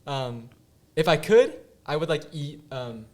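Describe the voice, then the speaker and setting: A man's voice: on the high side, expressive, unhurried, a little mellow. Giggly young man, casual chatter. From Bay Area, California. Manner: rambling, laughs often, many fillers. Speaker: podcast guest. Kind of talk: casual chat.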